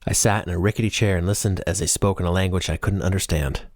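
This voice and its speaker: A man's voice: low-pitched, steady tone, quick pace, no echo, clean studio sound. A man reading a first-person novel as scripted audiobook narration.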